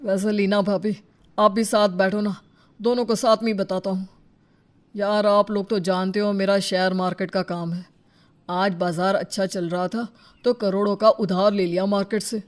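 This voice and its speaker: A woman's voice: medium pitch, flat delivery, moderate pace, pitched below the performer's own voice, lightly acted. A woman voicing a pushy, pleading male neighbour in a cartoon story, talking in a wheedling, colloquial way. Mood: chummy. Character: pleading, pushy middle-class male neighbour seeking a loan. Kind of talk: cartoon story character acting.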